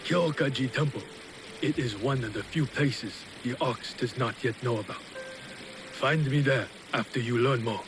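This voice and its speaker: An actor playing a weary, stern older samurai lord in a video game, speaking formally and probingly. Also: male voice, medium pitch, natural voice. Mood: weary, resigned. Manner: formal, measured, questioning. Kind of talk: video game cutscene acting. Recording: loud music behind the voice.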